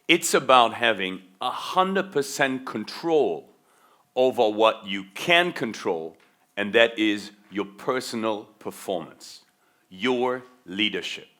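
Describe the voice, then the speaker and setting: A man's voice: fairly low pitch, lively intonation, takes it slowly, sharp tone. A middle-aged man from Germany who left his German accent behind as a teenager, giving a motivational keynote talk on leadership and stressing key words with repetition. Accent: faint American-schooled German. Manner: emphatic, stretches key words, repeats for stress. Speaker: keynote speaker.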